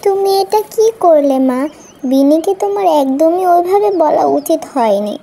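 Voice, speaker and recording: Woman as high-voiced, outspoken little village girl. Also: expressive, normal speed, dark tone, a little hiss or hum. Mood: indignant.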